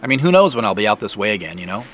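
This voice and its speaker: An actor playing a polite, casual out-of-town visitor in an audio drama, easygoing and a little apologetic. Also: male voice, on the low side, very animated, very fast, a bit bright.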